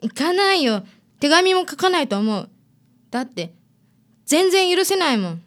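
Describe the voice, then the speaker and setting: A woman's voice: very high voice, big pitch swings, normal speed. A woman playing a stubborn, wounded teenage daughter in a radio drama. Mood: resolved.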